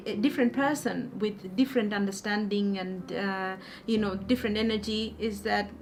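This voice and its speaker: A woman's voice: mid-range voice, fairly even intonation, moderate pace, a little mellow, a little hiss or hum. A woman hosting an interview for a coaching academy, asking questions hesitantly with many fillers and restarts. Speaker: interviewer, life coaching host. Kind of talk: hosted interview. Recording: music playing behind.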